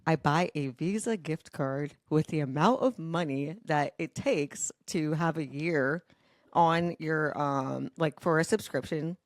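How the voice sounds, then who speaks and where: Low-voiced woman chatting on a podcast. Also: unhurried, no room sound, studio quality. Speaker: podcast host. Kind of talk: casual chat, storytelling.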